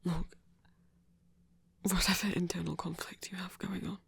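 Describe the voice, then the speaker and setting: Man acting as a slow, whispering, husky flirty vampire. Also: high-pitched, flat delivery, a bit bright, full character voice. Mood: calm, coaxing. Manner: slow, deliberate, formal phrasing. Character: flirty courteous male vampire, softly seductive. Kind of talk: intimate ASMR audio roleplay.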